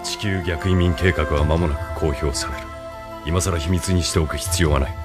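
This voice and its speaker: Man acting a deep, imperious military leader. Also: steady tone, over loud music, lightly acted. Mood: cold, commanding. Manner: measured, lecturing, condescending. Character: calm, imperious male military leader, idealist turned cynical schemer. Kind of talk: anime-style audio drama acting.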